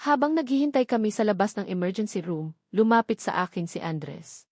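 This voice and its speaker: A woman with a Filipino accent reading a scripted first-person dramatic story in a solemn, measured delivery. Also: medium pitch, darkish tone, no echo, clean studio sound. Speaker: audio drama narrator.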